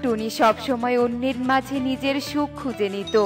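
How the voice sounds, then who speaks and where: High-voiced woman storyteller over music. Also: fairly even intonation, normal speed. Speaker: narrator. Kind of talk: scripted fairy-tale narration for children's cartoon.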